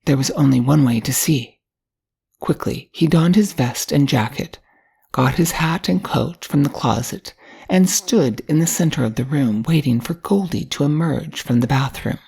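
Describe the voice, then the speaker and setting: A woman's voice: deep voice, moderate pace, vocal fry, mellow, husky. An older woman narrating a short story from a script as an audiobook reader.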